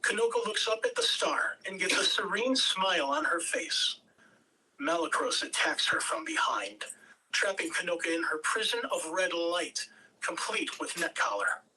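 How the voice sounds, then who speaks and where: Bright-voiced reader of screenplay directions, slightly distant. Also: medium pitch, very animated, moderate pace, husky. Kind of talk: scripted narration at a table read. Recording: distant mic, faint background noise.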